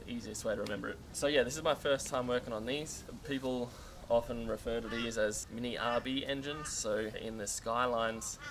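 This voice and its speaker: A young man with a slightly croaky voice, chatting casually as he explains servicing his project car. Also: mid-range voice, fairly even intonation, moderate pace, few pauses. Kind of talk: casual how-to car vlog. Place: outdoors by a car. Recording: loud outdoor background noise.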